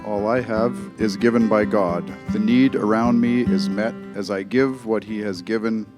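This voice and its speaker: A man with a somewhat low voice reads a short composed prayer aloud. Loud plucked guitar music plays behind his words. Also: steady tone, normal speed. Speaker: congregant reading a prayer.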